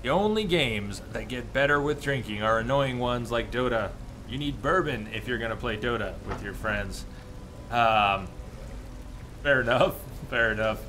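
A man's voice: medium pitch, normal speed, bright, forceful tone. A man in his thirties hosting a video game livestream, musing casually as he chats with viewers. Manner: musing, chatting with viewers between game remarks. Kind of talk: casual gaming stream chat. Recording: clear background noise from game ambience.

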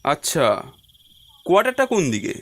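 A man voicing an uneasy, curious government employee newly arrived in a horror cartoon, with animated, jittery delivery. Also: sits high, fairly quick, heavily acted. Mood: curious, surprised. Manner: animated, talks to himself, stammers when startled. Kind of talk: horror cartoon voice acting and first-person narration.

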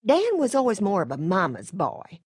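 A woman's voice: medium pitch, huge pitch swings, normal speed, darkish tone, slightly rough, no echo, clean studio sound, exaggerated voice. An actress voicing a middle-aged, folksy Southern farm matriarch in a video game, sweetly polite and motherly with a sinister streak. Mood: sad, subdued. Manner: sweetly polite, coaxing, homespun. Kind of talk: acted video game dialogue.

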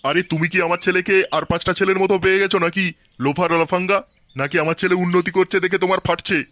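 A man voicing excitable, grumbling village men in a colloquial style for a comedy cartoon. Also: high-pitched, quick pace. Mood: offended. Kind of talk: Bengali comedy cartoon voice acting.

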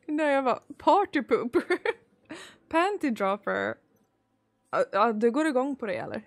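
Slow-talking Swedish woman with a gravelly edge. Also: sits high, expressive. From Sweden. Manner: giggly, chatty, drawn-out pauses. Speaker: streamer. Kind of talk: casual chat reading viewer messages. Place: small room at home streaming setup. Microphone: desk mic on boom arm.

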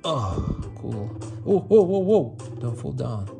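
Slow-paced man commentating over loud music. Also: mid-range voice, big pitch swings, vocal fry. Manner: short fragmented phrases, repeats words, hums nonsense syllables. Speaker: gaming video host. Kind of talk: casual mobile gameplay commentary. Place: indoor room, playing on a tablet. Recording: music playing behind the voice. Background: game music.

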